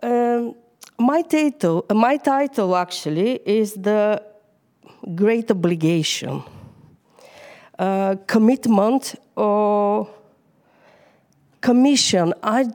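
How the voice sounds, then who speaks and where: A woman's voice: mid-range voice, very slow, pauses often, dark tone. A woman with a Bulgarian accent, whose first language is Bulgarian, giving an informal, hesitant talk to a church group. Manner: hesitant, many fillers. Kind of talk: informal sermon.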